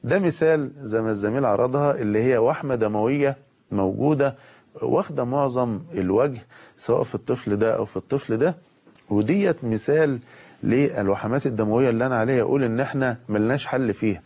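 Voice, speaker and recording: A man with an Egyptian accent, a pediatric surgeon with a cold, giving a calm medical explanation with pauses and fillers. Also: medium pitch. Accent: Egyptian Arabic. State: has a cold.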